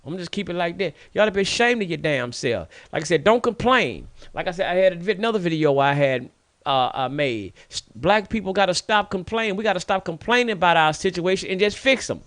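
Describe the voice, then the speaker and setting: A man's voice: sits high, animated, a little fast, a bit bright, no room sound. Fired-up preachy man, dry sound. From United States. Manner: preachy, scolding, repetitive emphasis. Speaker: radio show host. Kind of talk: impassioned monologue.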